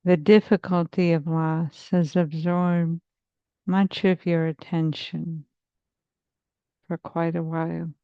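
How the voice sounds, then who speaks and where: A woman therapist leading a guided meditation in a soothing, measured, hypnotic manner with long pauses, on slightly muffled audio. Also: fairly low pitch, little pitch movement, dark tone, smooth, no room sound. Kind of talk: slow guided meditation narration.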